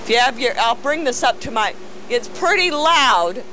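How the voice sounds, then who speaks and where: A woman hosting an on-location talk show, chatty and enthusiastic, with a loud voice, touring a tanning salon indoors. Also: fairly high pitch, animated, moderate pace, a little hiss or hum, rough recording. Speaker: host. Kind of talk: casual on-location interview.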